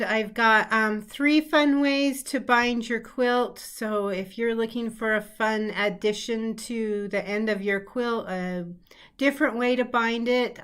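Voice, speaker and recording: A woman's voice: a little high, fairly bright tone. Chatty woman with a cold. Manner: chatty, many ums and throat clears. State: hoarse from a cold. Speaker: quilting teacher and show host. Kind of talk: casual live-stream chat. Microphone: computer setup, live stream.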